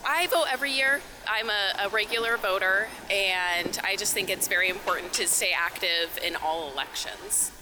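A woman gives a casual vox pop answer. She speaks at a middle pitch as an everyday interviewee. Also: normal speed, background noise. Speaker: voter interviewee.

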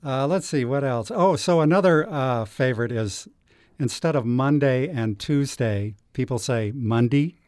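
Clear-voiced hesitant newspaper editor. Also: male voice, medium pitch, unhurried, darkish tone, no room sound, clean studio sound. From Utah. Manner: thinking aloud, hesitant, many ums. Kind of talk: radio interview.

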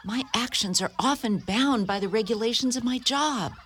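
A woman's voice: a little high, moderate pace, fairly bright tone, lightly acted. A woman playing a devout, rule-bound social worker, formal and earnest, in a community radio play. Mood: uneasy, formal. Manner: formal, earnest, hesitant to bend rules. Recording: noisy radio studio recording.

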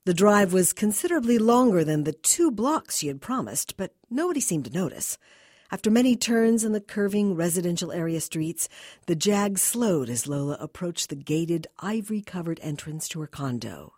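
Female story narrator: low, husky register, deliberate dramatic phrasing in scripted prose. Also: very animated, darkish tone, no room sound, studio quality. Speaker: reader of a short story.

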